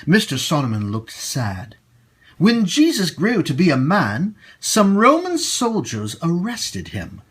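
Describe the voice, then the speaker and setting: Children's storytime host, male, husky voice, highly animated pitch, emphatic delivery aimed at little kids. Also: slow pace. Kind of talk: expressive children's story reading and friendly announcements to kids.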